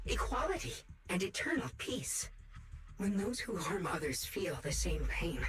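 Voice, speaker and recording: Distant, grandiose zealot villain. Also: male voice, high voice, fairly bright tone, exaggerated voice. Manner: grandiose, preaching. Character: zealous villain preaching unity, coldly protective of humanity. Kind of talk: video game villain monologue.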